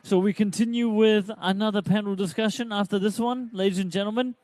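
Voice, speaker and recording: A man's voice: high-pitched, steady tone, darkish tone, dry recording, low-quality recording. A man working as emcee at a conference, making formal announcements and introducing panelists. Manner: formal, drawn-out name announcing. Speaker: event emcee. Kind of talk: event announcements. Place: conference hall.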